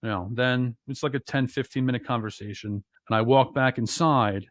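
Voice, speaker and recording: A man from New Jersey with a loud bass voice, co-hosting a casual podcast and telling rambling stories full of restarts and fillers. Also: normal speed, no echo. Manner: rambling storyteller, lots of "you know" and restarts. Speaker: podcast co-host.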